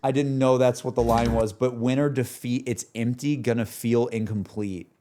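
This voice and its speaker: A man's voice: mid-range voice, fairly even intonation, normal speed. A man chatting casually as a streamer, effusive and slangy, repeating his phrases for emphasis. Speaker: streamer and video host. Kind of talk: casual live reaction chat to game music. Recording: music playing behind the voice. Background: video game battle music.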